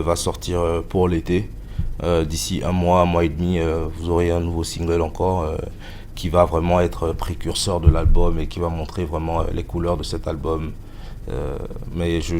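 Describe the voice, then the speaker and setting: A man in his thirties, a musician answering interview questions in a hesitant way, with many pauses and fillers. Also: sits low, a little mellow, slight background noise. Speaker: musician guest.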